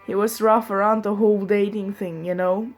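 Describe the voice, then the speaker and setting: A woman's voice: medium pitch, steady tone, normal speed. A young woman reading fanfiction aloud in the first person, sometimes giggling and breaking off to joke, with faint music behind her. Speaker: fanfiction reader.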